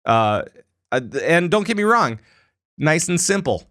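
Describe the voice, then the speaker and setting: A man co-hosting a casual gaming podcast, telling a story with lively acted-out lines. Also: on the high side, measured pace, no echo, studio quality. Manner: animated storytelling, acts out characters' lines. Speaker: podcast co-host.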